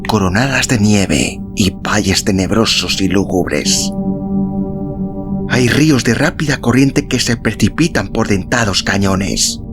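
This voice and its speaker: A man reading a literary story as an audiobook narrator, in a measured, solemn, dramatic style with deliberate pauses. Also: on the low side. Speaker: audiobook narrator and podcast host. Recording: music behind the voice. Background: background music.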